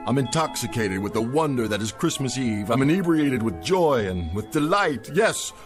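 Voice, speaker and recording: A man's voice: mid-range voice, sing-song, normal speed, over music, lightly acted. An actor playing a jolly, drunken middle-aged man acting as Santa Claus, with a theatrical, laughing manner, in a radio drama. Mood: merry. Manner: jovial, theatrical, laughing often.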